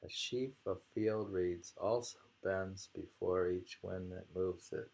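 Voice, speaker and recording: Slow, gravelly man musing over poetry. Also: low voice, fairly even intonation, darkish tone, rough recording. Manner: halting, musing. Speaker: reader and host of poetry readings. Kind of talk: casual poetry reading and discussion.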